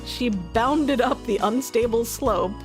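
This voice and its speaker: High-voiced, unhurried woman narrating. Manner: expressive storytelling. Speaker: streamer. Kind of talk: visual novel narration for a live stream. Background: soft game music.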